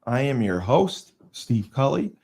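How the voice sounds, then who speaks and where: A man's voice: mid-range voice, very animated, a little slow, darkish tone, husky. A man hosting a casual sports podcast, joking and boasting about himself, then laughing at his own coaching. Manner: jokey, boastful, self-deprecating. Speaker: podcast host.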